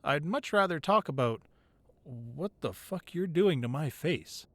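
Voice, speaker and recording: Playful, lively gang-leader boyfriend, acted by a man. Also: a little high, no echo, heavily acted. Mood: mock grumbling. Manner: playful mock-grumbling, soft asides, hesitant pauses. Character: tough male gang leader boyfriend, teasing and tender at home. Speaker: ASMR roleplay voice actor. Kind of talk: intimate ASMR boyfriend roleplay acting. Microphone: close microphone, dry.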